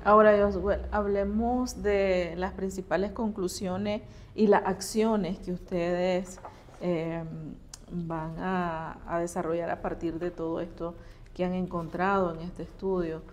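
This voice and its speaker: Lady host, lowish voice, asks follow-ups, bit of faint noise behind. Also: steady tone, takes it slowly, darkish tone, dull audio. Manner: attentive, asks questions with frequent short acknowledgments. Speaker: interview host. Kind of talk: conversational interview.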